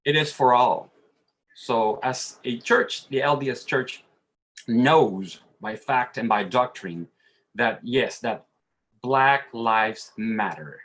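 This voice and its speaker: Slow-speaking man. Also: mid-range voice, fairly bright tone, poor audio. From Dominican Republic. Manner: halting, searching for words. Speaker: panelist. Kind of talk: earnest roundtable statement.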